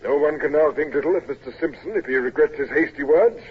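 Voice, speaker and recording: Older naval officer, stern, commanding, formal, husky, old radio drama, dull recording. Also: male voice, on the high side, fairly even intonation, quick pace.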